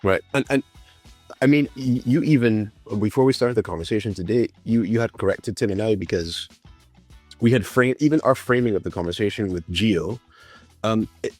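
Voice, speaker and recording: Barbadian man, low pitch, stammering host questions, very dry sound, faint music. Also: normal speed, a little mellow, dry recording. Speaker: podcast host. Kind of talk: casual video podcast conversation.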